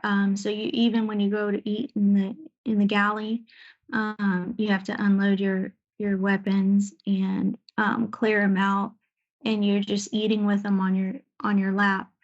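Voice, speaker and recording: A woman giving an informal slide presentation about her service as a Navy air traffic controller, talking conversationally with searching pauses. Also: medium pitch, level tone, muffled recording. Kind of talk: informal slide presentation recounting deployment stories.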